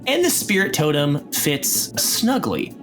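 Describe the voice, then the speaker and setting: Male game master, lively swinging intonation, casual storytelling over clearly audible music. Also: a little high, measured pace. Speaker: game master and narrator of an actual play podcast. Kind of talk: casual tabletop game narration.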